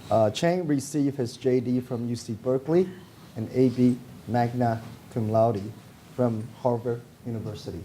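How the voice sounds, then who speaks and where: Mellow-voiced man reading a formal introduction. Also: medium pitch, normal speed, slight background noise. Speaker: panel moderator introducing a speaker. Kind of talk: reading a scripted biography aloud.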